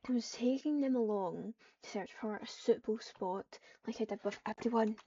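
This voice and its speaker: A woman's voice: a little high, normal speed, warm tone, husky. A woman casually talking and reading out lines while roleplaying in an online game. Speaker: gamer, video creator. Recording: muffled, distant microphone.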